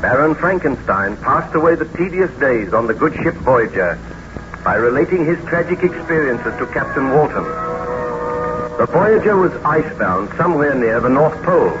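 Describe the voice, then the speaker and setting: A man's voice: mid-range voice, lively intonation, normal speed. A man announcing and narrating a serial in a scripted 1930s radio style. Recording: muffled vintage radio recording with music.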